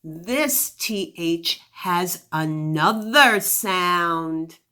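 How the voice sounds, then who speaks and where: A woman's voice: lowish voice, extremely slow, sharp tone, smooth. A woman teacher giving a phonics lesson to young children, encouraging them with praise and questions and sounding out words with exaggerated articulation.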